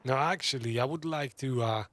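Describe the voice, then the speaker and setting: A man's voice: mid-range voice, moderate pace, a bit bright, a little hiss or hum. A man giving casual, offhand and irreverent live commentary as he plays a game. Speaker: game streamer.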